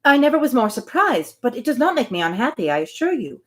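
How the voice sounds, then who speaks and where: A woman reading a classic novel aloud, giving the dialogue an expressive, shifting delivery, then chatting about the story. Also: on the high side, fast. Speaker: reader and host.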